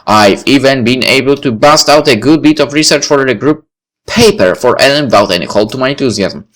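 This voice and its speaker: A man's voice: medium pitch, a little fast, fairly bright tone. A man reading a first-person story aloud as narration, with the occasional stumble. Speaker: video creator reading a visual novel aloud. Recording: faint music behind the voice. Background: faint music.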